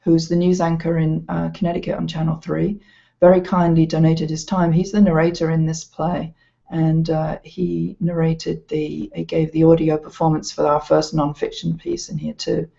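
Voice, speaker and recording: A woman's voice: low-pitched, level tone, vocal fry, warm tone. A woman giving an informal, hesitant walkthrough as an educational presenter. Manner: hesitant, halting delivery. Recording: slightly dull, lo-fi sound.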